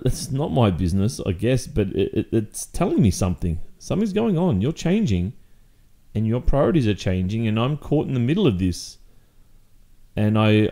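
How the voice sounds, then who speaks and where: Man acting out jealous young boyfriend, Australian accent, deepish pitch, very mellow dark tone, hesitant conversational delivery, fed-up, intimate roleplay audio. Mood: uneasy, reproachful.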